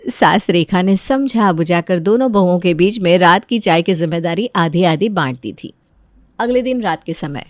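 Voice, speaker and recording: A woman's voice: lowish voice, lively intonation, moderate pace, dry recording, clean studio sound. Female narrator of a family tale. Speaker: story narrator.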